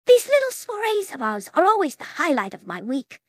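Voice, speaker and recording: A woman's voice: extremely high pitch, huge pitch swings, brisk, dry recording, pitched above the performer's own voice, full character voice. A woman voicing a refined, gracious young female pony from a cartoon fan story, with a polite, ladylike manner. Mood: gracious, genteel.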